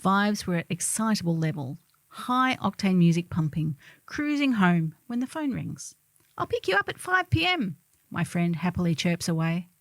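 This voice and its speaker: A woman's voice: on the low side, big pitch swings, moderate pace, no echo. A middle-aged woman reading a humorous first-person column in a wry, theatrical storytelling manner.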